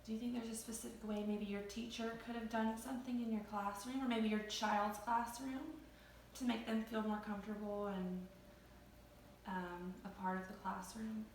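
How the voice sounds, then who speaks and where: A woman interviewing a parent, encouraging and quick with affirmations, who works as an early childhood teacher. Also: fairly high pitch, level tone, a little hiss or hum. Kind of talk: casual recorded interview. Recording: echoey room, distant mic.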